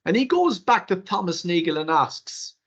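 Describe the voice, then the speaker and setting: An older Irish man whose first language is Irish Gaelic gives a webinar workshop in an animated, rhetorical way, with theatrical asides, heard over a video call. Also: sits high, normal speed, slightly rough. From Ireland. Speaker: workshop presenter. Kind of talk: webinar lecture. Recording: dull lo-fi webinar audio.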